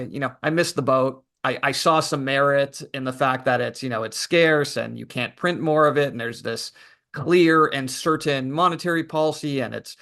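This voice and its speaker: A man answering interview questions at a mid-range pitch. He talks in a relaxed, reflective way, pausing on fillers as he thinks. He comes across as an author talking through his story. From Canada. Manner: relaxed, thoughtful, many fillers. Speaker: podcast guest, author.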